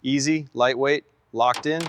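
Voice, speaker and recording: A man's voice: mid-range voice, fairly even intonation, unhurried, background noise. A man working as a car restoration mechanic, calling out each step in short, clipped, procedural phrases. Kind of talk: short step-by-step workshop commentary.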